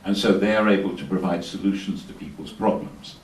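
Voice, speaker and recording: A man with a very low voice answers a question from the audience at a panel discussion. He explains in a measured way and draws on personal stories. He is picked up from a distance in a reverberant room. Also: steady tone. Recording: roomy, distant hall recording.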